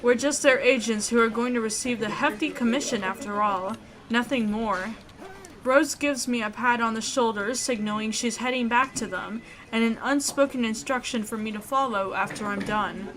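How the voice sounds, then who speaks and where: A woman hosting a let's play, reading a visual novel aloud in an expressive way. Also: fairly high pitch, moderate pace, poor audio.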